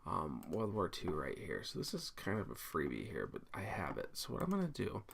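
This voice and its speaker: A man with a very low, creaky voice teaches a software task in a casual screencast style. His pitch moves around a lot as he thinks aloud, informally explaining one step after another. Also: huge pitch swings, brisk. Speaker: teacher giving a software tutorial. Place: indoors at a computer, screen recording.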